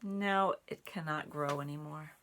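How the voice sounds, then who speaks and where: Woman reading expressively, slow and creaky. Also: low voice, slight background noise. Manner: expressive, deliberate phrasing for young listeners. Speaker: storybook reader and sign language teacher. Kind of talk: reading a children's picture book aloud, with asides.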